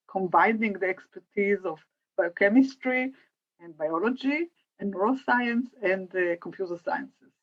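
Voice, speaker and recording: A woman's voice: mid-range voice, lo-fi sound. A woman from Israel, a researcher, introducing herself casually on a video call.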